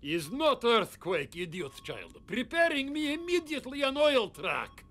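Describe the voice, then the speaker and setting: A man's voice: extremely high pitch, very animated, unhurried, pushed and bright, exaggerated voice. A man voicing a greedy tyrant henchman in a cartoon, speaking in broken, foreign-sounding grammar. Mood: scornful, impatient. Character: greedy, scornful tyrant oil baron, villain's henchman. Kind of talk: cartoon villain acting.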